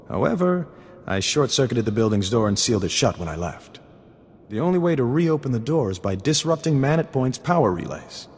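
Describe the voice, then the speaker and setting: Sly courteous smuggler informant, echoing hall. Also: male voice, mid-range voice, slightly rough. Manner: florid, mock-polite, wordy. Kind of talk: video game character dialogue. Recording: reverberant hall sound under music.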